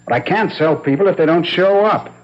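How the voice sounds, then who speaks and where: A man's voice: sits high, a little fast, lightly acted. An actor playing an earnest, proud, defensive salesman in a 1970s radio drama, speaking emphatically. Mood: indignant, defensive. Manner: emphatic, protesting. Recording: dull 1970s radio broadcast.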